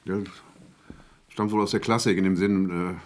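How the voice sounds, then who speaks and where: A man's voice: low voice, steady tone, normal speed, a little hiss or hum, close to an everyday voice. An actor playing a harried, impatient, agitated man in a radio play, blurting out colloquial lines nervously. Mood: nervous, explaining.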